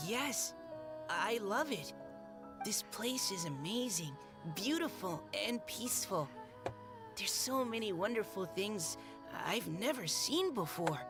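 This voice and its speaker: A gentle young woman companion from a video game, courteous and a little hesitant. Her pitch moves in a lively way over faint background music. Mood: happy, warm.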